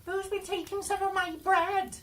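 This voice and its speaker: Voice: very high voice, level tone, normal speed, a bit bright, far from the mic, a little hiss or hum, pitched above the performer's own voice. A storyteller voicing little storybook dwarf men, curious and indignant, in exclamations and questions. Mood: indignant puzzlement.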